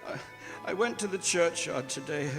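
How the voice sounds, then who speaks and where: Grieving father, played by a man, high gentle voice, halting and sorrowful, reverberant hall with music. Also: expressive. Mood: grieving, tender. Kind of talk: stage radio drama acting.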